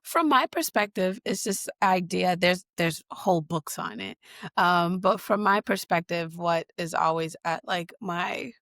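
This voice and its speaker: A woman from Boston, a guest in an interview, answering in a conversational way with many pauses, fillers and restarts. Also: fairly low pitch, a bit bright, no echo, clean studio sound. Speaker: guest, digital equity leader.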